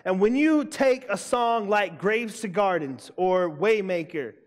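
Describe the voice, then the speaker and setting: A young man preaching a sermon to students, emphatic and loud, repeating his key lines. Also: sits high, lively intonation, a little slow. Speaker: youth pastor. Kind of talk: youth sermon. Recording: long hall reverb.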